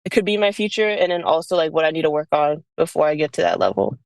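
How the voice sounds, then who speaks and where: A woman's voice: deep voice, big pitch swings, fast, gravelly, no room sound. A woman in her early twenties from the United States, chatting casually as an interview guest, peppering her talk with "like" and "you know". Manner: informal, frequent "like" and "you know". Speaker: college basketball player, interview guest.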